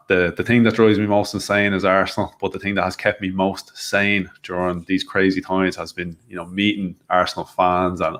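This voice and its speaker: A man's voice: low-pitched, a bit bright. A man with an Irish accent, a guest on a fan talk show, chatting casually and joking about himself. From Ireland. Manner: self-deprecating, chatty, frequent restarts. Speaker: podcast guest. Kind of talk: casual chat.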